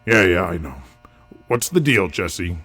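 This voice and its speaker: A man playing a miserable, stubborn old wrestling veteran in an audio drama, gravelly and curt, with a cynical grumble. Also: low-pitched, sing-song, unhurried, fairly bright tone, full character voice. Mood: surly. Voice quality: gravelly, raspy.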